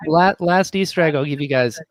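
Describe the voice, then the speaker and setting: A man chatting casually as a podcast guest, animated and often doubling up short phrases. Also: fairly high pitch, a little fast, no echo. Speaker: podcast guest, audio drama maker. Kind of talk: casual chat.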